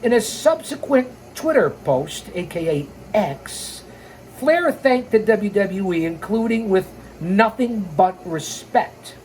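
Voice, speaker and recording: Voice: medium pitch, animated, takes it slowly, darkish tone. Blunt, opinionated wrestling storyteller with noisy background. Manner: opinionated, blunt, sarcastic, swearing. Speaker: host of a pro wrestling storytelling show. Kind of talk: reading a scripted biography with ranting, informal asides.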